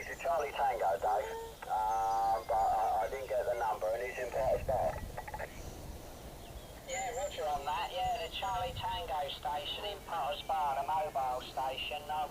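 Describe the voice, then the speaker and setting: A man in a casual, rambling CB radio chat, heard through a radio transmission. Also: mid-range voice. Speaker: CB radio operators. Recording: noisy CB radio reception. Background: clear radio noise.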